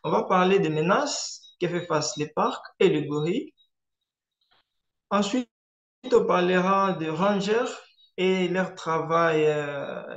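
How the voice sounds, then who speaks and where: A man from eastern Congo, a Swahili speaker, giving a measured online presentation as a conservationist with frequent hesitation fillers. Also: on the high side, fairly bright tone. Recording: roomy echo.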